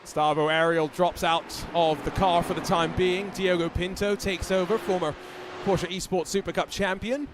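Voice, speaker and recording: Man, race commentator, high-pitched, chatty and rambling, noisy stream audio. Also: fairly even intonation, moderate pace, few pauses. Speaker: sim racing commentator.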